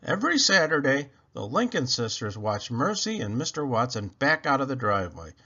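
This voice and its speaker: A man reading a children's storybook aloud with expressive narration. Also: mid-range voice, moderate pace, lo-fi sound.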